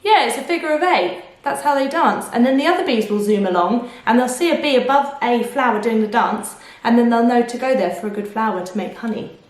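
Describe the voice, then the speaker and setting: A woman reading a picture book aloud to children, expressive, with explanations and questions to the listener. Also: a little high, fairly quick. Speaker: children's storyteller. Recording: echoey room.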